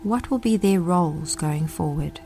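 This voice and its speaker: A woman narrating a guided meditation as the guide, speaking in a gentle, measured way with deliberate pauses. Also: on the low side, faint music behind.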